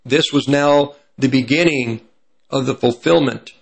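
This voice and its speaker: A man with a slightly raspy voice from hay fever, giving prophecy commentary as he reads from an article and stops to correct himself. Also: mid-range voice. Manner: pauses to correct himself mid-sentence.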